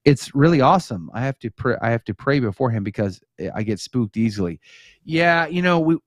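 A man's voice: medium pitch, expressive, fairly quick, dry recording, studio quality. A middle-aged man with an American accent chatting casually as a live talk show host, conversational and rambling into tangents. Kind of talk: casual chat with viewers, personal storytelling. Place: small home studio with bookshelves, indoors. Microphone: close studio microphone on boom arm.

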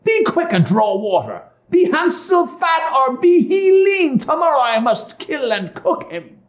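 A man voicing a wicked old witch in a storybook tale, shrill and exaggerated, cruel and scheming. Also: very animated, unhurried, heavily acted. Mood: impatient, menacing. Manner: exaggerated, spiteful, scolding. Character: wicked old witch woman, feigning sweetness, cruel and cackling.

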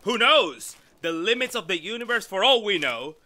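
An actor playing an arrogant, excitable male scientist, condescending and theatrical, in an old-style radio drama. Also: high-pitched, normal speed, full character voice. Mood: eager, dismissive. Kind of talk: retro 1930s radio drama acting.

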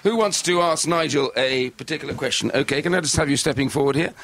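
A man hosting a radio show, greeting a packed studio with brisk, repetitive questions and chuckling asides. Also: fairly high pitch, fairly bright tone. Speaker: radio host. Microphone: studio microphone with headphones.

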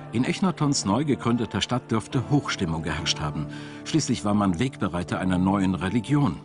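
Male documentary narrator, lower register, measured storyteller's read of a script, laid over prominent music. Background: background music.

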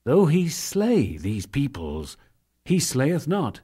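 Guy doing a dramatic scripture reading, really slow and solemn, lots of up and down in his pitch, formal delivery. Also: huge pitch swings, dark tone, breathy edge. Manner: solemn, measured, formal declamation. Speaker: narrator.

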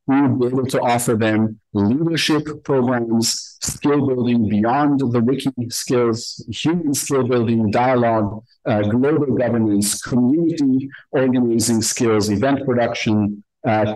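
A man's voice: medium pitch, darkish tone, dry recording, rough recording. Man presenting, close dry sound. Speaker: conference presenter. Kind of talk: explanatory conference presentation with slides. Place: indoor conference room.

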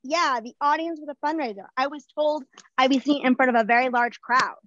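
A woman's voice: high-pitched, fairly quick, a bit bright. A woman in headphones playing a confused, self-absorbed young singer-songwriter with a dramatic, whiny manner, on a webcam by a brick wall. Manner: dramatic, whiny, breaks into wailing. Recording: dull lo-fi webcam sound.

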